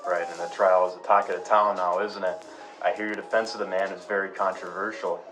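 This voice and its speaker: A low-pitched male voice over music, a man acting a radio show host. He is polite, curious and conversational as he prompts his guests. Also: level tone, fairly quick, close to an everyday voice. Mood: curious, probing. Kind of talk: acted radio interview for a school project.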